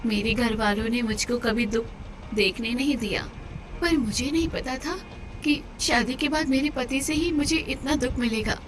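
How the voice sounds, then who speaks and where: Woman acting gentle housewife, polite, pleading, highish voice, cartoon drama, noisy background. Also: normal speed, lightly acted. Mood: distressed. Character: gentle, distressed, placating women of a troubled household. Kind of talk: cartoon story dialogue. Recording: clear background noise under voice.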